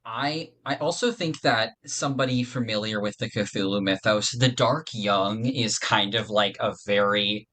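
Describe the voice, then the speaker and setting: A man chatting casually as a podcast panelist, haltingly thinking aloud. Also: fairly low pitch, bright, forceful tone. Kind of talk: casual fan discussion.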